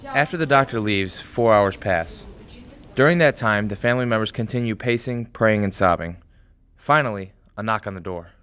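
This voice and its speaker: A man's voice: on the low side, moderate pace, fairly bright tone, smooth, dry recording. A man reading scripted dramatic narration as the narrator of a radio play. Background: music.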